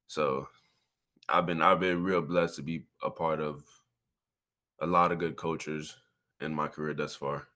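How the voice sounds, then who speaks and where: A man's voice: rumbling low, steady tone, unhurried, pauses often, sharp tone. A man in his twenties from Miami, talking casually and earnestly as a college athlete being interviewed, often repeating himself for emphasis. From Miami, Florida. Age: 20s. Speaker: college football player, interview guest.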